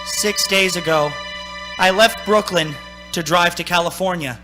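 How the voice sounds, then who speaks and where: Actor playing an anxious, high-pitched haunted man. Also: measured pace, over music. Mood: desperate, urgent. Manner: urgent, dramatic first-person narrating. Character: anxious, haunted man of thirty-six recounting his road trip. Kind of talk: old-time radio suspense acting.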